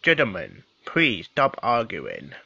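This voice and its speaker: A man voicing an inquisitive, wry male guest in a fan audio drama, asking slow, probing questions, on a dull, dry recording. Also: lowish voice, wildly swooping pitch, vocal fry, pushed and bright, slightly rough, dry recording, heavily acted. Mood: calm.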